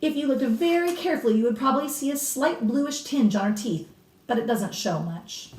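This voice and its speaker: Woman reading as a grave, emphatic old grandmother, lively pitch. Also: a little hiss or hum. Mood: solemn, knowing. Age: older. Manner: deadly serious, emphatic, drawn-out key words. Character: grave elderly grandmother solemnly warning about witches. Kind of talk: dramatic children's storybook reading. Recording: roomy echo, distant mic.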